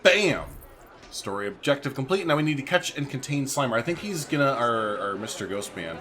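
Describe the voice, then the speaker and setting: Animated male gamer commentating casually. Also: mid-range voice, fairly quick. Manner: chatty, informal, thinks aloud while playing. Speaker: gaming video host. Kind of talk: casual let's-play commentary. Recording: faint background noise.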